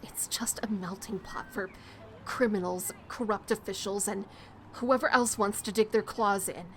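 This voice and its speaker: A woman playing a jaded, streetwise city dweller in an audio drama, wry and scornful, with a breathy, confiding way of talking. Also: mid-range voice, fairly even intonation, normal speed, a bit bright, close to an everyday voice. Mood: bitter. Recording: clearly noisy, ambience behind.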